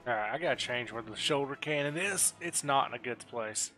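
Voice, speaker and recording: Guy doing a lets play, jokey n sweary, average pitch, game music playing. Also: brisk. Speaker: gaming video host. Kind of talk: casual let's play commentary.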